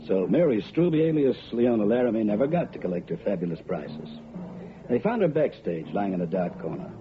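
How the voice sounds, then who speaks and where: A man's voice: on the low side, sing-song, fairly quick, slight background noise. An actor playing a wisecracking, unflappable private detective who narrates his own case in slangy radio drama style. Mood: wry, narrating. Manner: wry, slangy. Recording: muffled old radio recording.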